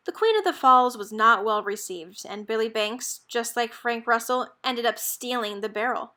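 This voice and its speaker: A woman reading a children's picture book aloud in an expressive, measured storytelling manner. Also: on the high side. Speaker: storybook reader.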